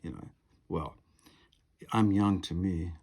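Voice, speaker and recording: Man, 70s, husky and lowish, meandering talk to camera with many asides. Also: slow, a little mellow. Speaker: piano and harp player. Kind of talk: rambling monologue to camera. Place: home.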